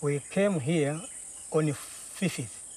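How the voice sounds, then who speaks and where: A man from Zambia answering questions outdoors, mostly in quiet, short replies. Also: a little high, slow. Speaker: detained poacher. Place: outdoors in the bush. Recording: distant outdoor mic, faint insect noise.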